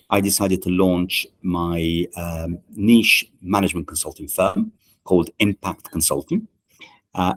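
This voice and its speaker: Deep-voiced man, halting and measured. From Italy. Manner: measured, with many "uh" and "um" pauses and restarts. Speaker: management consultant and guest. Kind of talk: podcast interview answers. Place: podcast studio.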